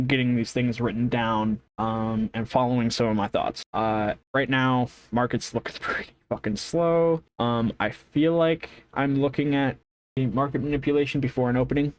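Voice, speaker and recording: A man with a mid-pitched voice talks to himself in an audio log, with some ambient noise behind him. He is hesitant and casual, wandering through his thoughts, rephrasing and trailing off in slangy, unpolished language. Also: low-quality recording. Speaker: vlogger recording an audio journal. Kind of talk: rambling thinking-aloud monologue.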